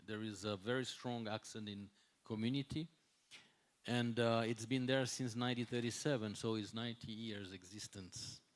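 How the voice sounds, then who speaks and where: A man in his sixties, a non-native English speaker, gives an unscripted conference talk as a hotel executive, often pausing and repeating words as he searches for the next one. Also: fairly low pitch, steady tone. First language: not English. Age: 60s.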